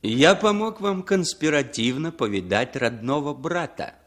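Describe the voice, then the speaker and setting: Secretive go-between, radio drama, polite, insinuating, very varied intonation. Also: male voice, on the high side, lively intonation, a little slow, a bit bright. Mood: courteous, insinuating. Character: smooth, insinuating male go-between in secret dealings. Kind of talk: 1960s Soviet radio drama acting.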